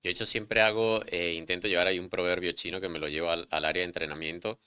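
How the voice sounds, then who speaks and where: Deep, bright-toned male podcast host. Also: fairly even intonation, quick pace, clean tone, dry recording. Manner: conversational, poses questions, some restarts. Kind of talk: interview conversation.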